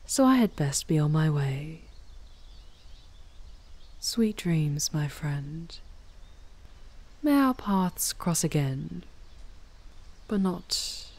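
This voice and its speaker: A woman performs an ancient demoness in an intimate ASMR audio drama. The voice is low and mellow, soft and often whispery. She speaks slowly and formally, wistful and tender with a sly edge. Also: big pitch swings, full character voice. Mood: sly, fond. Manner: slow, formal, tender farewell speech. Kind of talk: ASMR audio roleplay, intimate scripted monologue.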